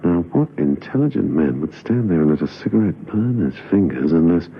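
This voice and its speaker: An actor playing a thoughtful gentleman spy who muses aloud in halting phrases in a radio drama. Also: low-pitched, expressive, creaky. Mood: pensive, puzzled. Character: pensive male gentleman spy puzzling out clues. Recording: muffled old radio recording, faint noise.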